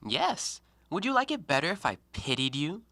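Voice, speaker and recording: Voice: mid-range voice, big pitch swings, normal speed, sharp tone. An actor in an audio play voicing a proud, shrewd, scornful educated man with formal, theatrical delivery. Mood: cold, superior. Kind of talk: stage-style audio play acting.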